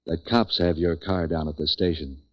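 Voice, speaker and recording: A hard-boiled man for hire out of an old radio noir, acted by a man. His voice sits very low and he talks in clipped, deadpan wisecracks, street-wise and tough. The recording is dull 1940s lo-fi. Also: moderate pace, close to an everyday voice. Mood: cool, needling. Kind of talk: 1940s radio noir first-person narration and dialogue.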